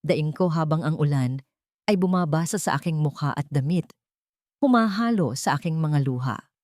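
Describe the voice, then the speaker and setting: Low-voiced Filipino woman narrating a drama. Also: lively intonation, moderate pace, darkish tone, no room sound, clean studio sound. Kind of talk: dramatic first-person scripted story narration.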